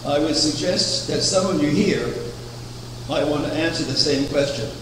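An older man preaching a sermon from a pulpit, measured and anecdotal, asking rhetorical questions. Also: a little high. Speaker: preacher, founder of a religious order. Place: chapel, at a wooden pulpit. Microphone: far camera. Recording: echoey chapel from far off, air conditioning hum.

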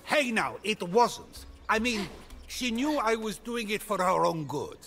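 A man's voice: sits high, lively intonation, unhurried, fairly bright tone, exaggerated voice. An actor voicing a petulant, boastful king of the gods in a comic video game, theatrical and full of indignant bluster. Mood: smug, defensive. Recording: music behind the dialogue.

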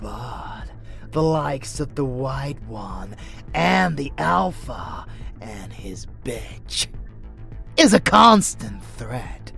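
A man voicing an unhinged, seductive vampire warrior in a dark romance audio roleplay, theatrical, with breathy murmurs and sudden swells. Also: mid-range voice, big pitch swings, very slow, soft background music, full character voice. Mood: grave.

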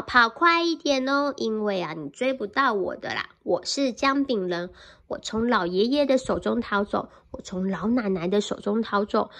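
A woman's voice: on the high side, a bit bright. A woman playing a cocky little gingerbread man. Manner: taunting sing-song chant. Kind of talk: children's storybook reading.

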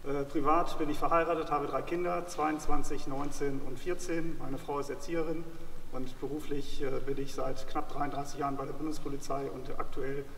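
A man's voice: on the high side, level tone, fairly quick, a bit bright, a little hiss or hum. A man in his forties, a mayoral candidate, introducing himself matter-of-factly with some hesitations. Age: 40s. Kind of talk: self-introduction. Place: large indoor sports hall. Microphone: far camera. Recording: echoey sports hall from far off.

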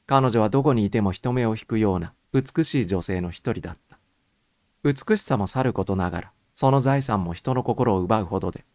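Male narrator for a first-person mystery audiobook, lower-pitched voice, dry studio-like sound. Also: darkish tone, no echo.

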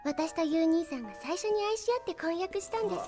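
Sweetly polite, obsessive spirit girl, anime audio drama; very high voice, insistent devotion. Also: lively intonation, fast talker, music in the background. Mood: possessive, adoring.

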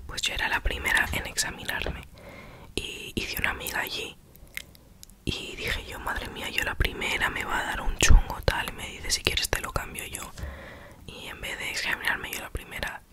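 Whispering young woman telling a story. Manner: chatty, self-mocking, acts out quoted exchanges.

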